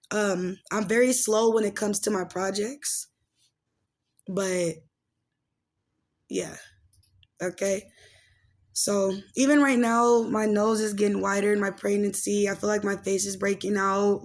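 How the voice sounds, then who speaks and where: A woman in her late twenties hosting a casual gossip and life-update podcast, rambling along in loose slang peppered with filler words. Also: mid-range voice. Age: late 20s. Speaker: podcast host and commentator. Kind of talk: casual gossip commentary and personal chat.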